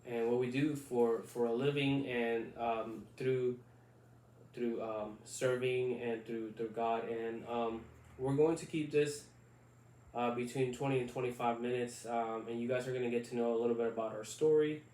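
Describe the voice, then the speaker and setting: A man in his thirties, from Puerto Rico, co-hosts a casual podcast. He talks haltingly, circling back with fillers and restarts, in a flat, level voice. He sits a bit back from the mic in an echoey room with a faint hiss behind. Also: mid-range voice, distant mic. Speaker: podcast co-host. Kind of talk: casual chat.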